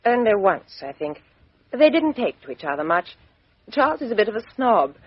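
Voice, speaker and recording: An actress playing a cool, sharp-witted young British woman in a radio mystery drama, self-possessed and clipped in speech. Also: mid-range voice, sing-song, normal speed, husky, no echo. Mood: frank, composed. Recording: dull lo-fi radio drama recording.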